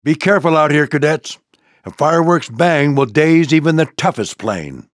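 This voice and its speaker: An older American man voicing a proud, gruff old military plane who mentors a squadron in a video game, with a gravelly voice and a commanding, folksy manner. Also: on the high side, lively intonation, normal speed, no echo, clean studio sound, natural voice. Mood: commanding, encouraging. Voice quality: gravelly, husky. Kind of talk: scripted video game character lines.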